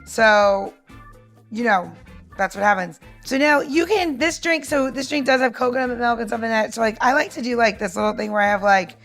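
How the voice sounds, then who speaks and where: A woman's voice: a little high, moderate pace, fairly bright tone, soft background music. A woman on a podcast explaining drinks in casual chat, breezy, informal and playful. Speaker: cocktail maker on a podcast.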